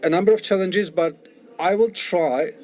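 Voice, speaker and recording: A man's voice: high-pitched, moderate pace, darkish tone. A man doing simultaneous interpretation at a conference, often restarting and rephrasing as he renders the speaker's words.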